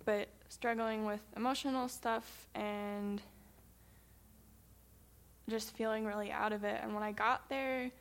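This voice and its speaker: A woman's voice: a little high, steady tone, measured pace, long pauses. A young woman from the United States casually telling stories from a church trip, with frequent ums and small laughs as she talks. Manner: chatty, many ums, laughs while talking. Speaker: missionary reporting back. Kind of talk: casual storytelling presentation.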